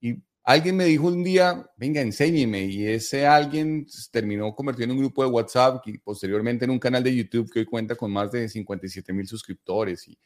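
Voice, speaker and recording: A middle-aged man from Colombia with a Bogotá accent, answering interview questions conversationally and explaining things in long, measured sentences. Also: mid-range voice. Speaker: interview guest, investing educator.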